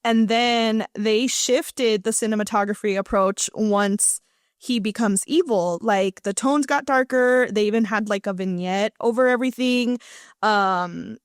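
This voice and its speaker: A woman's voice: mid-range voice, a little slow, smooth, no room sound, clean studio sound. A woman co-hosting a podcast, giving her take on films in an informal, slangy, chatty style. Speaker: podcast co-host. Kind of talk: casual film review chat.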